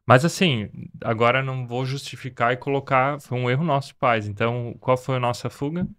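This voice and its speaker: Male podcast host, 30s, mid-pitched and clear, sincere and reflective. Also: fairly even intonation, fairly quick, fairly bright tone. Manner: earnest, reflective storytelling. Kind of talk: casual conversation.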